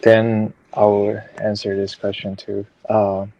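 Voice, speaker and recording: Slow, gravelly young man from China. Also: fairly low pitch, completely flat delivery, mellow, no room sound, poor audio. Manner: hesitant, many fillers. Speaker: student discussing. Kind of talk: class discussion.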